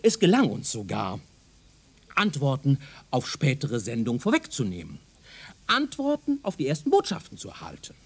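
A man's voice: mid-range voice, huge pitch swings, moderate pace, slightly rough, exaggerated voice. A man playing a zealous, self-assured professor of speculative science in a German radio drama, lecturing in a pedantic, insistent way. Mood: earnest, insistent.

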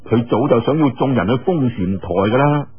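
A man voicing a young swordsman in Cantonese, earnest and sharp-witted, musing aloud with scoffing interjections. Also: mid-range voice, lively intonation, normal speed, close to an everyday voice. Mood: scornful musing. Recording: muffled narrow-band radio-like sound.

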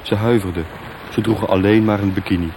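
A man's voice: lowish voice, steady tone, moderate pace, noisy background. A man narrating a radio play as scripted, dramatic storytelling. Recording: music bed behind. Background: music.

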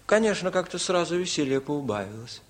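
An actor playing a grumbling, bantering young village driver in a 1950s radio comedy. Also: male voice, a little high, big pitch swings, fairly quick, echoey room.

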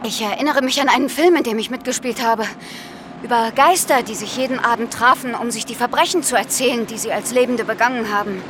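A woman playing a troubled, self-reliant middle-aged actress in a radio drama, expressive and naturalistic with halting delivery. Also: on the high side, noisy background, close to an everyday voice. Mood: urgent, wistful. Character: troubled actress Ellie, haunted by family loss, stubbornly self-reliant. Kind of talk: German radio play acting.

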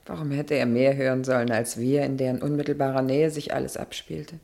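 A woman's voice: a bass voice, steady tone, brisk, vocal fry, warm tone. An actress playing an anxious, pleading middle-aged governor's wife and mother in a German radio drama. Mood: fearful, uneasy.

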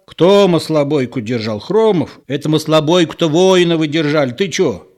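A man's voice: fairly high pitch, unhurried, a little mellow, pitched above the performer's own voice, natural voice. A man voicing a tipsy old village man in an audiobook, argumentative and reminiscing in rustic colloquial speech.

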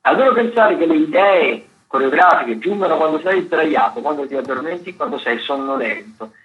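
Halting male radio host, dull lo-fi sound. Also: on the high side, expressive. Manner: halting, repetitive, filler-heavy delivery. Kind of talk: radio arts listings commentary, loosely read.

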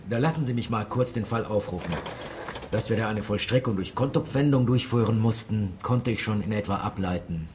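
A man's voice: on the low side, brisk, close to an everyday voice. A man playing a dry, sardonic tax official. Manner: dry, sardonic, matter-of-fact. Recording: radio drama with clear noise.

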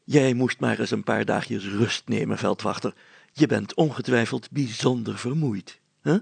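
A man's voice: mid-range voice, a little slow, vocal fry, breathy edge, no room sound, exaggerated voice. A man voicing a self-assured, genial town mayor in a storybook, slightly patronising. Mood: kindly. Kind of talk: dramatized storybook reading.

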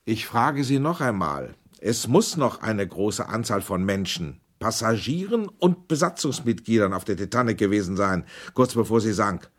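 Male inquiry chairman type, radio play, insistent and formal, rough husky tone, animated intonation. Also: lowish voice, normal speed, hardly any pauses. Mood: insistent, pressing. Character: persistent male senator interrogating witnesses at inquiry. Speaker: investigating questioner. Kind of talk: German documentary radio drama, formal questioning.

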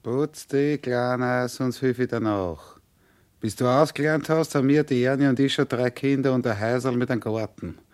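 A man acting a young Viennese mechanic with a medium-pitched voice. He is cocky and taunting, a boastful biker in a radio play. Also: moderate pace, a little mellow, natural voice.

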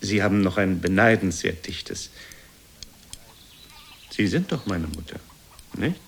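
A man's voice: sits low, animated, a little slow, creaky, full character voice. A man playing a meek, guilt-ridden aging son in a German radio play, speaking hesitantly and deferentially to his mother. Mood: unsure, pleading. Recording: noisy older radio recording.

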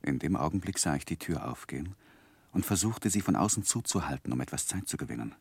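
An actor playing a grown man haunted by his past, narrating gravely and haltingly in a 1960s German radio drama. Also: a bass voice, lively intonation, no echo, full character voice. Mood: grave, reflective.

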